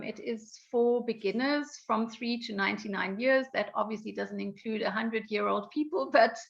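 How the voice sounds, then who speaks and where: A woman giving an online software training, explaining with frequent ums, her voice going croaky. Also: medium pitch. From South Africa. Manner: explanatory, many ums and restarts. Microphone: video call. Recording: dull lo-fi online call audio.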